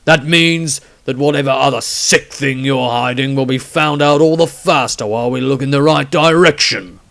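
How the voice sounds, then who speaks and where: A cold, intimidating witch hunter acted out by a male narrator for a fantasy audio story. He speaks slowly and deliberately, with a heavy gravelly creak in his voice. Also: mid-range voice, fairly bright tone, pitched above the performer's own voice. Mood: cold, suspicious. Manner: slow, deliberate, intimidating delivery.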